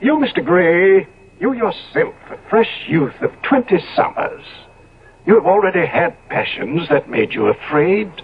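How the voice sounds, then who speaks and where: A man's voice: high-pitched, lively intonation, a little slow, slight background noise. A man playing a decadent, cynical Victorian lord in a theatrical, grandly persuasive way, in 1970s radio drama style. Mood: fervent, persuasive. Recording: tinny, phone-like old radio recording.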